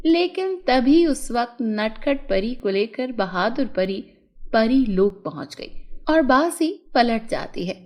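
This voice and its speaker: A woman's voice: on the high side, darkish tone. A woman narrating a scripted fairy tale for children.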